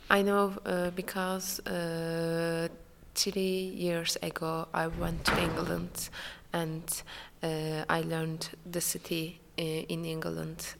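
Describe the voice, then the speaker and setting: Slow, gravelly young woman from Turkey. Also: fairly low pitch, level tone, a little hiss or hum. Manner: hesitant non-native English with many fillers. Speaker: international student interviewee. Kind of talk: casual interview answers. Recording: hall reverb with faint ambience.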